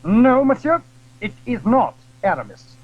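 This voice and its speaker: Man playing high-voiced haughty foreign musketeer. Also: very animated, measured pace, phone-quality sound, heavily acted. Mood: haughty, impatient. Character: haughty foreign male musketeer, curt and coolly polite.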